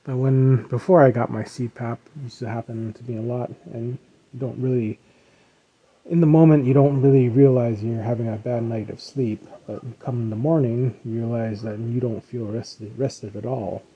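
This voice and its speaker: A man hosting his own podcast in a casual, rambling solo chat, his voice a little rough from a post-nasal drip. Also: mid-range voice, fairly even intonation, normal speed, dull audio. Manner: rambling, self-correcting, chuckles now and then. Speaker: podcast host.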